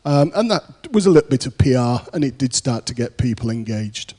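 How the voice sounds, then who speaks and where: A British man answering on a conference panel with a mellow, relaxed tone. His talk is loose and full of fillers and restarts. The sound carries the long reverb of a big conference hall. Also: mid-range voice, brisk. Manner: rambling, many fillers and restarts. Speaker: panelist, programme manager.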